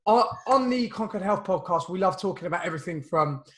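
Guy in his 30s, kinda croaky, host chatting over webcam from home, a bit higher voice. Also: animated, fast, fairly bright tone. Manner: chatty, loose, lots of fillers and restarts. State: croaky voice from illness. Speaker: podcast host. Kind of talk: casual video-call podcast chat. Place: home room, indoors. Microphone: video call webcam.

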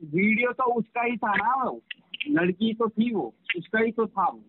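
A man's voice: high-pitched, unhurried. A man arguing on a phone call in an insistent, repetitive and pressuring way. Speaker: caller pressuring the other party. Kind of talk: casual phone argument. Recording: noisy, tinny telephone line with clicks.

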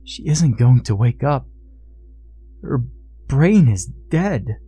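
A man voicing a level-headed older father-in-law in a story, pleading gravely and earnestly. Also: mid-range voice, animated, slow pace, mellow, faint music behind, voice pushed up.

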